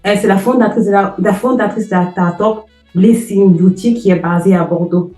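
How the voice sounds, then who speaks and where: A woman's voice: medium pitch, normal speed, dark tone, echoey room, faint music behind. A woman hosting an interview, speaking with effusive emphasis and repeating phrases for effect. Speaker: host. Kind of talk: emphatic podcast introduction and interview.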